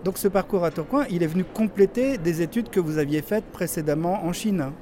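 Male interviewer, higher pitch, warm mellow tone, measured questions, light street noise. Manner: long measured questions with fillers.

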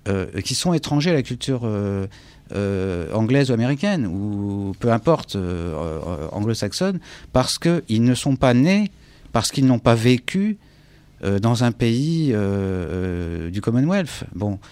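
A man's voice: on the low side, big pitch swings, slow, darkish tone, smooth, dry recording. A man from France with a French accent, speaking reflectively as an interview guest, often searching for words and repeating himself. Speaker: interview guest, English teacher and author.

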